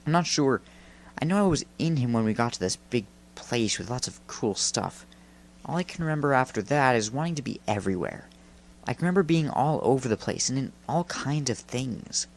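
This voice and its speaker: A man's voice: medium pitch, lively intonation, moderate pace, gravelly, a little hiss or hum. A man voicing an angry young male spirit in a story, defensive, snappish and brooding. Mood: musing, sullen.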